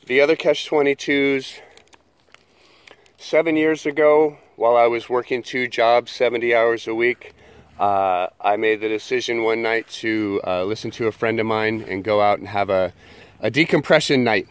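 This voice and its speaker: A man's voice: medium pitch, moderate pace, smooth, no echo. A man in his early forties with an American accent, introducing himself informally to camera in a candid, earnest, plain-spoken way. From California, United States. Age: early 40s. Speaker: job seeker introducing himself. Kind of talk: informal video résumé, talking to camera.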